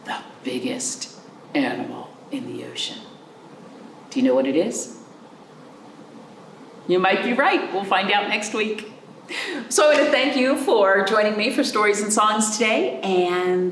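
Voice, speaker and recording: A woman's voice: mid-range voice, expressive, a little slow, pauses often. A woman children's librarian chatting to young children, explaining things simply and asking the listener questions. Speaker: children's librarian hosting virtual storytime. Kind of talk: storytime talk to young children. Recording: roomy echo, clear background noise.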